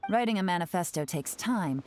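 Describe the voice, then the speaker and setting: A woman's voice: lowish voice, expressive, a little fast, a little mellow, slight background noise, lightly acted. A woman playing a confident, composed young spymaster in a video game, cool and businesslike with a sly edge. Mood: explaining.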